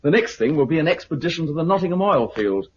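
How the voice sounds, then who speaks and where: Confident British survivor-colony recruiter played by a male actor, animated delivery, muffled, hissy 1950s radio sound. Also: on the high side, fast, natural voice. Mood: matter-of-fact, proud.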